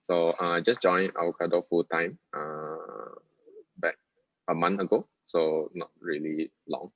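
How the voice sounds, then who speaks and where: Very deep, flat-toned Malaysian man. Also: a little slow, no echo. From Ipoh, Malaysia. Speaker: senior UI/UX designer. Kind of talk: casual self-introduction. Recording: dull, muffled lo-fi audio.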